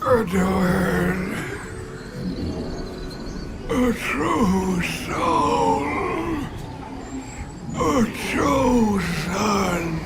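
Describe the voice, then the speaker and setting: A woman voicing the reanimated corpse of a fanatical cultist in a game, tormented, speaking in halting, strained fragments. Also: mid-range voice, expressive, heavy background noise. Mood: reverent, strained. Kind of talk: dramatic video game acting. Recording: very loud game music behind.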